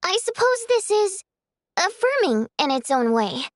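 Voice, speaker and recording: A very high-voiced, proud singer. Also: female voice, very animated, a little slow, no room sound, clean studio sound, full character voice. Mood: wounded restraint. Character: proud female theater singer, easily offended, indignant. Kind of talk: scripted video game dialogue.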